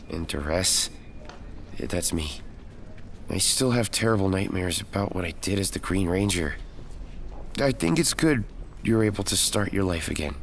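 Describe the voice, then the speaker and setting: A man's voice: low-pitched, slight background noise. A man playing an earnest young hero, narrating and acting his own story in a dramatic audio drama style. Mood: awkward, sincere. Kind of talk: first-person audio drama narration and dialogue.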